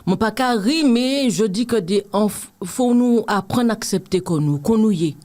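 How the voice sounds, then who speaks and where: A woman's voice: medium pitch, lively intonation, normal speed, a little mellow. A woman in her fifties with a Martinican accent, chatting earnestly as an interview guest and reflecting on accepting herself. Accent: Martinican French. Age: 50s. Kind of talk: casual interview chat.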